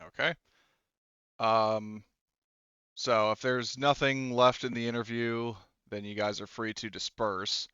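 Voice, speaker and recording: A man's voice: medium pitch, flat delivery, takes it slowly, sharp tone, clean tone, no room sound, lo-fi sound. A man casually narrating a tabletop game as game master, improvising in informal, rambling, hesitant phrases.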